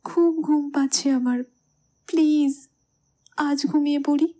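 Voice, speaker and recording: A young woman playing a shy, anxious bride who protests gently and hesitantly in a romantic audio story. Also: high-pitched, steady tone, takes it slowly. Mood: sleepy. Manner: coy, hesitant, softly pouting.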